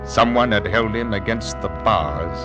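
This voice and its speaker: An actor playing a stern, anxious 1700s ship's captain in old-time radio drama, telling his tale gravely and dramatically. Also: lowish voice, unhurried, natural voice. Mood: grave, haunted. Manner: dramatic, grave first-person storytelling. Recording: old radio recording with music behind.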